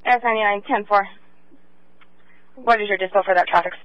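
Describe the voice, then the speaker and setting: A man's voice: high voice, fairly even intonation, dry recording. A man working as a police dispatcher, giving terse radio codes over a radio channel. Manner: fast, clipped radio codes. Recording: lo-fi radio transmission.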